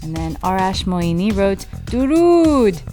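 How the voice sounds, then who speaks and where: A woman's voice: mid-range voice, big pitch swings, takes it slowly, warm tone, faint music behind. A woman co-hosting a podcast, reading listener comments aloud and bantering with her co-host.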